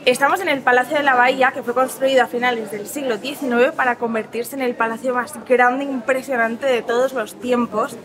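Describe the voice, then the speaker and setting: A woman in her twenties with a Spain accent, presenting a casual travel vlog to camera as a vlogger. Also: fairly high pitch. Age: 20s. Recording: music behind the voice. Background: music.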